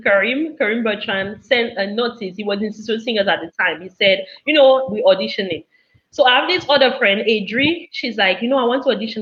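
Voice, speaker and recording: A young woman, chatting casually as a guest and telling personal anecdotes in an animated way, acting out bits of dialogue. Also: a little high. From Cameroon. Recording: dull, lo-fi audio.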